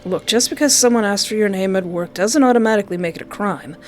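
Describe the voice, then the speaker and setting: A woman's voice: mid-range voice, fast, a little mellow, a little hiss or hum, close to an everyday voice. A woman voicing a tired, dismissive male police officer in a visual novel, brusque and bureaucratic. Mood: bored, dismissive. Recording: clear background music.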